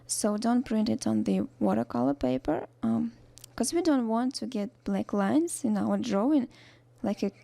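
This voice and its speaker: Young Russian-accented woman, mellow and gravelly, halting tutorial voice-over. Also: a little high, no echo. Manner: halting, with many fillers and restarts, soft-spoken. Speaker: art tutorial narrator. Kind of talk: voice-over narration of a drawing tutorial.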